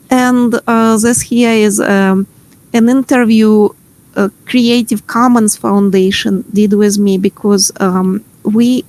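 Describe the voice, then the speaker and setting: A middle-aged woman from Ukraine with a Ukrainian accent, leading an online math workshop from home over a video call, giving gentle, playful instructions with many pauses and fillers. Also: fairly high pitch, poor audio.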